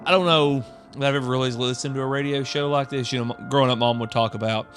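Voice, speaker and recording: A man's voice: mid-range voice, fairly even intonation, quick pace, fairly bright tone, faint music behind. A man from the Southern United States co-hosting a podcast in casual chat. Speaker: podcast co-host.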